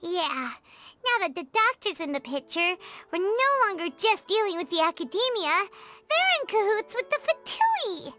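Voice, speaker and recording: A woman voicing a tiny, excitable, childlike fairy companion with a squeaky voice in a video game. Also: normal speed, full character voice. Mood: pondering. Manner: speaks of self in third person, excitable. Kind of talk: video game character acting. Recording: music behind the voice.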